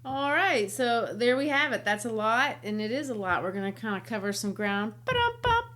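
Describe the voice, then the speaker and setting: A woman who is a teacher, co-hosting a casual book podcast, chatty and quick to ask questions. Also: fairly high pitch, big pitch swings, a bit bright. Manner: chatty, asks questions, laughs easily.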